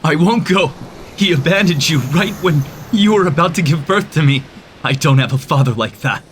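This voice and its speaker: A young man voicing a brave, headstrong young male hero in an animated story, speaking earnestly and proudly. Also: fairly high pitch, moderate pace, slight background noise, close to an everyday voice. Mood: reluctant. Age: young adult.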